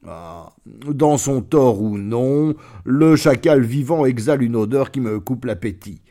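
A man voicing a mighty, scheming male lion who rules as judge, booming and mocking. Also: expressive, measured pace, warm tone, natural voice.

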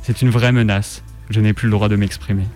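A man's voice: lowish voice, steady tone, fairly quick, darkish tone. A man reading a first-person story as dramatic scripted narration. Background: music.

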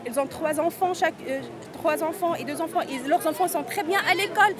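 A woman's voice: extremely high pitch, brisk. A Tunisian woman in her forties, an interviewee at a street march, speaking earnestly and often repeating and restarting words. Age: 40s. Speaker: undocumented migrant interviewee. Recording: crowd noise outdoors. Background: crowd.

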